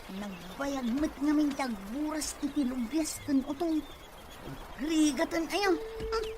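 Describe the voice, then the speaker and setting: An actress plays a reluctant young farm helper in a radio drama. The voice is high and complaining, with slight background noise. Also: female voice, lively intonation, takes it slowly. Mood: grumbling. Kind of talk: Ilocano radio drama acting.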